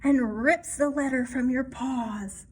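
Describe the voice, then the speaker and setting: A woman's voice: high-pitched, takes it slowly, husky, exaggerated voice. A woman voicing anxious, imaginative little kittens in a children's picture book, in a worried, childlike manner.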